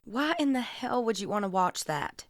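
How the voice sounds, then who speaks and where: A woman's voice: medium pitch, big pitch swings, moderate pace, no echo, studio quality. A woman playing a blunt, protective, no-nonsense sister with an American accent in a naturalistic radio drama. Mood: blunt, skeptical. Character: blunt, protective adult sister, Texan mother.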